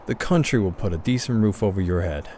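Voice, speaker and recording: A man playing a gruff, aloof adult guardian in a manga dub drama. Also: low voice, brisk, a little mellow, a little hiss or hum, lightly acted. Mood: aloof, resigned. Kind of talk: anime-style manga dub acting.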